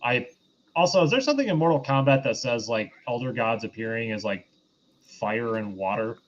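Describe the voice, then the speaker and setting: A man's voice: mid-range voice, expressive, a little hiss or hum. Man rambling casually, slightly muffled lo-fi sound. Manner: rambling, halting, conversational. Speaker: podcast host reviewing a film. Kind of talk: casual, unscripted movie recap. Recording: dull, lo-fi voice recording.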